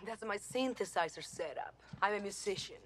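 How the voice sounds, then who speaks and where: A woman's voice: medium pitch, lively intonation, moderate pace, a crowd in the background, close to an everyday voice. A woman playing a musician in an action film. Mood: guarded. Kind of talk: film dialogue.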